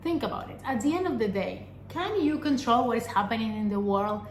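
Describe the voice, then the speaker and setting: A young adult woman from Argentina, a vlogger giving an earnest personal talk to camera, pausing often and asking rhetorical questions. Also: fairly high pitch, animated, slight background noise. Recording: echoey room with faint ambience.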